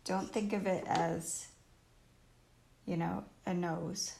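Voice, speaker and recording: A woman with a croaky voice casually teaching painting on a livestream, thinking aloud in short phrases as she works. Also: on the low side, fairly even intonation, slow. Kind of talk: casual live painting tutorial. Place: indoors at home, live stream while painting. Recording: roomy live stream with faint noise and clicks.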